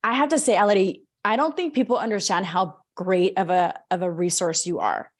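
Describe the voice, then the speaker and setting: A woman's voice: mid-range voice, animated, a little fast. A woman hosting a podcast, eager and chatty, running her sentences together as she introduces a guest. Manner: eager, talks in long run-on sentences. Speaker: podcast host. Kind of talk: podcast interview, enthusiastic intro.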